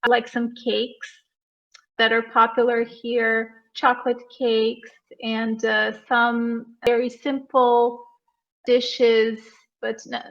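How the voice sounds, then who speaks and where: A woman's voice: fairly high pitch, completely flat delivery, takes it slowly. A woman from Serbia with a Serbian accent, chatting casually as an English tutor on an online call. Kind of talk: casual conversational chat. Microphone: online video call. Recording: muffled, lo-fi online call audio.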